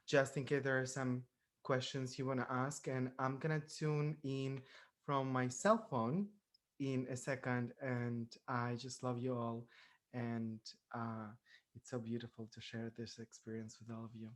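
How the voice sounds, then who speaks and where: Guy on a zoom call, halting, lots of uhs, plain mid voice. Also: medium pitch, little pitch movement. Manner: halting, filler-heavy. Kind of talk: warm casual remarks. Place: video call.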